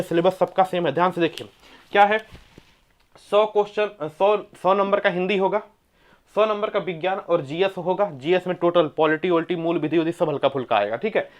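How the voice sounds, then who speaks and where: A man teaching an exam coaching class, emphatically repeating key points and putting quiz-like questions to his listeners. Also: high voice. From India. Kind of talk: coaching lecture explaining exam eligibility and syllabus.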